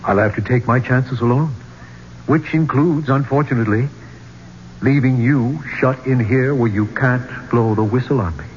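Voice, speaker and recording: An actor playing a smooth-talking, greedy archeologist turned thief, with persuasive, theatrical delivery in a 1970s radio drama. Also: male voice, mid-range voice, moderate pace, natural voice. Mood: coaxing. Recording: muffled, noisy old radio recording.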